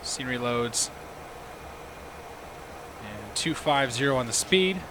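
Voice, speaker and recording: A hoarse man hosting a livestream, chatting casually and talking himself through each cockpit step as he flies a simulator. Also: mid-range voice, animated, slow, noisy background. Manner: talks himself through cockpit steps, chats with viewers. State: losing his voice, hoarse. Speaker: livestream host. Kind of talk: casual commentary while flying a simulator.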